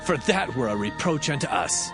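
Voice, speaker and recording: Stern, deceitful brothers, speaking with a slow and deliberate weight and a lively pitch. A strong music bed fills in behind them. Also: male voice. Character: sons of Jacob, stern young brothers. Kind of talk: dramatized scripture reading.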